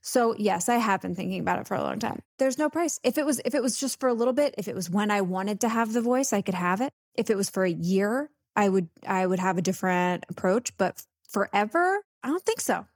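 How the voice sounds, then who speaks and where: A woman's voice: medium pitch, lively intonation, fairly quick, few pauses, dry recording. A woman co-hosting a comedy podcast, speaking with emphatic, jokey energy and laughing easily. Her voice sits in a middle register, recorded close and dry. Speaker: podcast host. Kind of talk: casual comedic chat.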